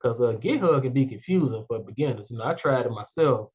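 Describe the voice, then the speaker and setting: Young male podcast host, mid-pitched and loose, rambling with lots of "like" and "you know.". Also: animated, fairly quick, darkish tone, breathy edge. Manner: rambling, heavy "like" and "you know" fillers, upbeat. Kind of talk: casual interview chat.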